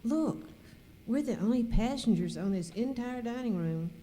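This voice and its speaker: A woman's voice: fairly high pitch, lively intonation, moderate pace, dark tone, natural voice. A woman playing a curious, suspicious cruise passenger in an amateur radio skit. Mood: uneasy. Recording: echoey hall, faint noise.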